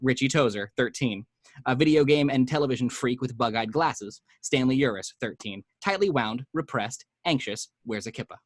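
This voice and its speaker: A man narrating the action lines of a screenplay at home, with vivid, measured delivery. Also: medium pitch, dry recording. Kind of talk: screenplay reading.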